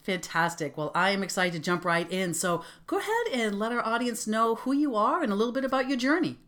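A woman's voice: medium pitch, sing-song, brisk, fairly bright tone. A woman hosting a podcast interview, upbeat and affirming toward her guest. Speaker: podcast host.

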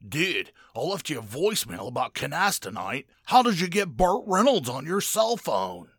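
A man's voice: on the high side, very animated, normal speed, a bit bright, husky, no room sound, full character voice. A man acting a smug, bragging slacker dude in a spoof radio commercial. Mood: amazed.

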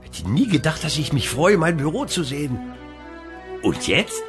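A man's voice: a little high, very animated, moderate pace, over music. A man acting in a comedy radio play as a grumbling, sarcastic angel assistant with dry muttered asides. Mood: sardonic. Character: grumbling sarcastic male angel office assistant, sceptical sidekick.

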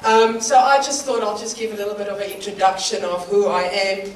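A woman's voice: medium pitch, level tone, fairly quick, a little hiss or hum. A middle-aged woman from South Africa with a South African accent, giving a slightly nervous church sermon with light laughs between phrases. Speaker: guest preacher. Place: church hall. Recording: roomy church hall from far off.

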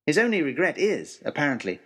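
A man with a fairly high, slightly husky voice narrates an adventure journal. His delivery is animated and dramatic, with formal, old-fashioned phrasing throughout. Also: fairly quick. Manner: expressive, dramatic, formal period diction. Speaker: storyteller, first-person narrator.

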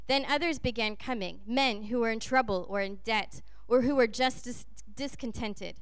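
A woman's voice: a little high, clear, resonant tone, dry recording. A woman from Texas preaching in short phrases, with a conversational, playful storytelling manner. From Texas, United States. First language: English. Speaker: guest preacher. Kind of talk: sermon delivered in short phrases for an interpreter.

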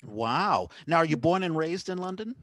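A man's voice: fairly high pitch, lively intonation, normal speed, fairly bright tone, dry recording. A man hosting a podcast in a casual, rambling conversational style. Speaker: podcast host. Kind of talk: casual interview chat.

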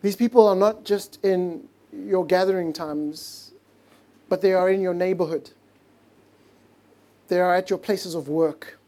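Man, pastor, sermon, mellow warm tone, drawn-out emphasis, hushed drops, non-native English speaker. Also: high voice, steady tone. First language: not English. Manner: earnest, stretches key words slowly, drops to a hush for emphasis.

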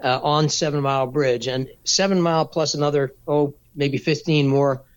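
A man being interviewed, explaining things in a measured, practical way with occasional fillers. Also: on the high side, steady tone, clean tone. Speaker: race director. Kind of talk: casual interview answers.

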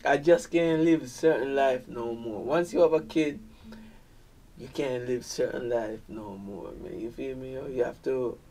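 A man's voice: medium pitch, measured pace, dark tone. Laid-back Jamaican man, distant mic. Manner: laid-back, peppers talk with "man" and "you feel me". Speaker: dancehall musician guest. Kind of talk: casual interview chat.

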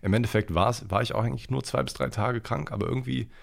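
A man's voice: medium pitch, fast talker, vocal fry. A young man from the Ruhr area hosting his own podcast, chatting in a rambling, blunt, slangy way, a little nasal and congested from a cold. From Ruhr area, Germany. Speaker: podcast host. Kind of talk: casual solo podcast chat.

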